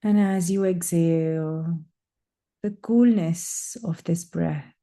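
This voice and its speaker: A woman leading a guided breathing meditation, speaking in slow, soothing, drawn-out phrases with long pauses. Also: fairly low pitch, fairly even intonation, mellow.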